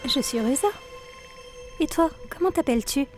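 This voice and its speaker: A woman acts out an enigmatic young water nymph for an audio story. Her voice is high, soft and playful, set against clearly heard music. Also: lively intonation, moderate pace. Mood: playful, mysterious.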